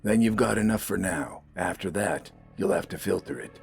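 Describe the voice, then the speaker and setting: A man's voice: low-pitched, expressive, normal speed, creaky, soft background music. A man voicing a grim, unflappable army corporal in an audio story, curt and commanding.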